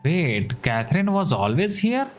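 A man's voice: on the high side, big pitch swings, unhurried. A young man with an Indian accent, a game streamer giving casual live commentary. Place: small room indoors at a gaming setup. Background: game music.

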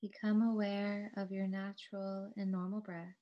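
A woman yoga teacher leading a guided relaxation in a calm, soothing, measured way, with long pauses between phrases. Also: mid-range voice, lo-fi sound.

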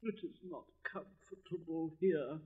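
A man's voice: fairly high pitch, slightly rough, pitched above the performer's own voice. A man doing a pompous, vain rocket. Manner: pompous, haughty, slow drawn-out words. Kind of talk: storybook reading. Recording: dull lo-fi recording.

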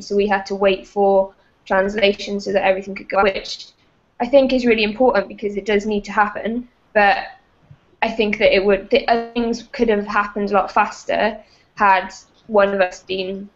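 A young woman, a dance artist, speaking earnestly and correcting herself in a casual panel discussion over a video call from a room at home. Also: mid-range voice. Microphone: laptop webcam video call. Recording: muffled video call audio.

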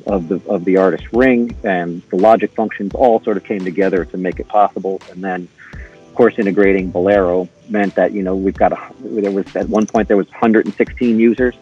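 A middle-aged man giving an informal, halting technical walkthrough as a podcast guest, step by step. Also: deep voice, fairly even intonation, a little mellow, husky, muffled recording, soft background music. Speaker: podcast guest, intercom engineer.

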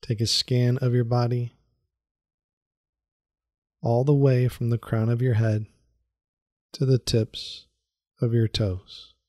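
A man leading a guided meditation in a soothing, unhurried manner with long pauses and repeated phrases. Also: mid-range voice, level tone, a little mellow, dry recording, clean studio sound. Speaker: meditation guide, yoga teacher. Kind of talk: guided meditation, calm instruction.

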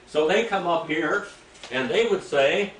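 An older man leads a Bible class with a slightly high voice, often asking his listeners questions as he reads scripture. The sound comes from a distance in an echoey room. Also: a little slow, a bit bright, slight background noise. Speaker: preacher teaching a Bible class. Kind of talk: Bible teaching lecture. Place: small church room, indoors. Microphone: room mic from a distance.

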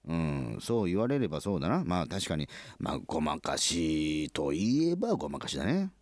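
An actor playing a flustered, good-natured middle-aged company president in a comic radio drama, stammering and hesitant. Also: male voice, deep voice, big pitch swings, moderate pace, a little mellow, dry recording. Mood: flustered, evasive.

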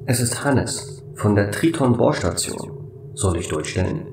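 A man voicing a calm, polite station computer in a German radio play, measured, formal and matter-of-fact. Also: fairly low pitch, creaky. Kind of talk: radio drama acting. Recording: roomy, distant, faint noise.

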